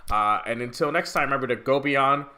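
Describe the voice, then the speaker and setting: A man's voice: medium pitch, a little fast, crowd noise. A man hosting a podcast interview, speaking in a chatty, friendly way with loose phrasing. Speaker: podcast host.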